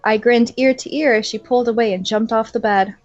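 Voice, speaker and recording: A woman's voice: fairly high pitch, fairly even intonation, fairly quick, no room sound, highs cut off, soft background music. A young woman reading fan fiction aloud in a mock-dramatic way and adding sarcastic, giggly comments between passages.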